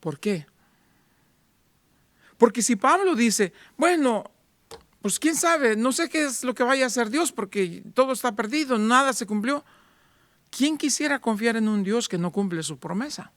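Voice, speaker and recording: High-voiced man preaching a sermon. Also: lively intonation, a little slow, fairly bright tone, no echo. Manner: rhetorical questions, voicing doubting listeners. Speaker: pastor.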